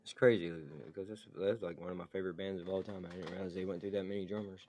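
A man's voice: sits low, level tone, fast talker, dark tone, slight background noise. Rambling, laid-back podcast host man. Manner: rambling, laid-back, swears freely, laughs often. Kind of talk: casual chat.

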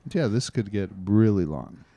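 A man co-hosting a podcast, spinning absurd bits with a deadpan, playful delivery in casual banter. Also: on the low side, normal speed, no echo, dull audio. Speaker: podcast co-host.

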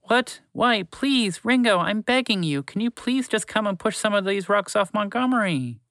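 A man's voice: high-pitched, animated, normal speed, fairly bright tone, no echo, pitched above the performer's own voice. A man voicing a small, earnest male mouse who pleads and talks a lot. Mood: pleading. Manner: pleading, talkative.

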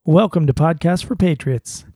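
A man's voice: on the high side, normal speed, background noise. A man hosting a podcast, who introduces his guest and asks questions in a friendly, conversational way. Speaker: podcast host. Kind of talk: podcast interview.

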